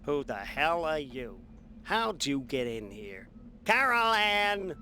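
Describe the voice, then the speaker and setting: A man's voice: on the high side, big pitch swings, slow pace, background noise. A man playing a desperate, nervous amusement park owner in a video game, pleading and stammering. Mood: frightened. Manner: stammering, wheedling, hesitant. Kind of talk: video game cutscene acting.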